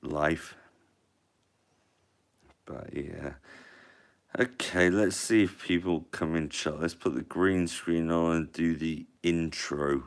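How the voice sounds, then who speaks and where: Slow, monotone man. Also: sits low, smooth. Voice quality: monotone, mumbling fillers. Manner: rolling a cigarette while talking. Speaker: livestream host. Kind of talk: casual live-stream chat.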